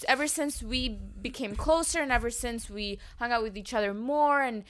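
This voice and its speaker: A woman with a fairly high voice talking through her thoughts in an earnest, reflective way. She sounds like a podcast host in casual conversation. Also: normal speed, a bit bright. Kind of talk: casual chat.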